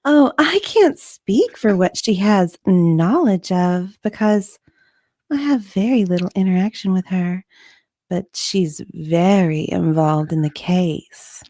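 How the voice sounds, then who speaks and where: A woman's voice: lowish voice, expressive, slow pace, mellow, dry recording, studio quality, pitched below the performer's own voice. A woman playing an unsure, hesitant witness in an amateur dramatic reading of a deposition, drawing out her answers. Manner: hesitant, drawn out, uncertain.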